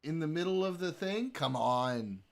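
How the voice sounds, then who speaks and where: A man's voice: on the high side, lively intonation, measured pace, gravelly. A man hosting a livestream, chatting casually with his chat while he plays a game. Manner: laid-back, talks to chat while playing. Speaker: livestream host.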